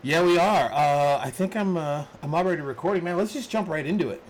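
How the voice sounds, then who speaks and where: A man from Chicago hosting his first podcast, talking in a relaxed, upbeat way with plenty of fillers. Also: on the high side, brisk, a loud crowd. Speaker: podcast host. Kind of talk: casual podcast intro and interview.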